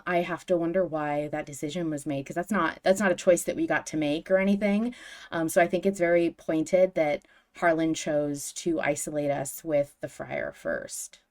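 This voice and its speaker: A woman's voice: low-pitched, fairly even intonation, moderate pace, a little mellow. A woman hosting a podcast in casual chat, with an enthusiastic, emphatic way of talking. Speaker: podcast host.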